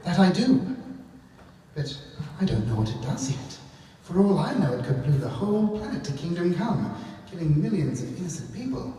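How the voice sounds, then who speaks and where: In an echoey theatre, far from the mic, a bumbling evil professor rants in theatrical bluster. The voice sits high and leaps about wildly, petulant and exasperated, as in a comic stage serial. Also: male voice, sing-song, normal speed, mellow, a little hiss or hum. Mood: petulant scheming. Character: hammy bumbling male mad scientist villain, petulant and blustering. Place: theatre stage before a live audience.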